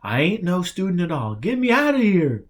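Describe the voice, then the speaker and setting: A man's voice: high voice, wildly swooping pitch, moderate pace, voice pushed up. A man voicing a questioning, exasperated male student in an audiobook, with a colloquial way of talking.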